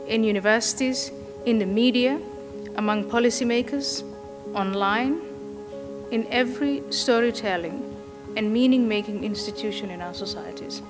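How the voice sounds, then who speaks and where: Woman speechmaker in an echoing hall. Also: medium pitch. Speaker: conference speaker, organizer. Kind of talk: prepared speech. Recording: echoey hall with music underneath. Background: music.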